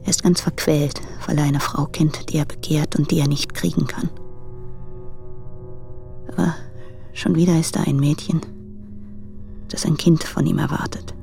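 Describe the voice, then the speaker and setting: Torn married woman, radio drama, hushed, gravelly fry, hesitant, music behind. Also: low-pitched, fairly even intonation, normal speed, long pauses. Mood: troubled. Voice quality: breathy, hushed. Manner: hesitant, subdued. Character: anguished married woman torn between husband and lover. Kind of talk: intimate German radio play monologue. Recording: close dry studio, music behind.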